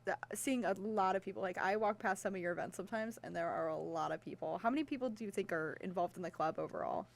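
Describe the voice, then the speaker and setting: Young woman, medium pitch, student radio host, casual interview style, excitable, informal. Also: fast talker, gravelly, hardly any pauses. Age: young adult.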